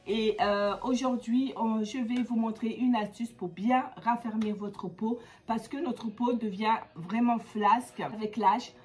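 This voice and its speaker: A woman's voice: a little high, steady tone, measured pace, hardly any pauses, a little mellow. A woman from the Comoros with a stuffy nose from a cold, chatting casually through a beauty how-to vlog with many hesitations. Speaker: beauty vlogger.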